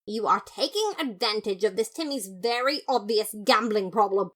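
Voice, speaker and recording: A woman's voice: medium pitch, expressive, normal speed, a bit bright. An upright skeleton brother acted by a woman speaks in an audio story. He is pompous and formal, stressing his words with exasperated indignation. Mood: indignant, scolding.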